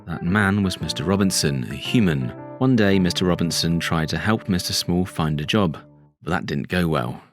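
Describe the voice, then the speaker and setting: A British man playing a measured, reflective storybook character giving a thoughtful interview in an audio drama. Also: low-pitched, soft background music, close to an everyday voice. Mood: storytelling. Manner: thoughtful, hesitant, conversational.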